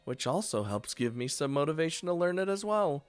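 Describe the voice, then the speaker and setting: A man reading the lines of a calm, polite blind teenage girl from a visual novel in a plain, measured voice. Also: on the high side, clean tone, voice pushed up. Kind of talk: reading game dialogue aloud.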